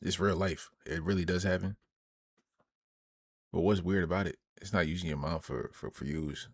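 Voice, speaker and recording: A man's voice: low voice, steady tone, normal speed, breathy edge, no room sound, studio quality. A man streaming a casual reaction to a video, talking to his viewers in a slangy way.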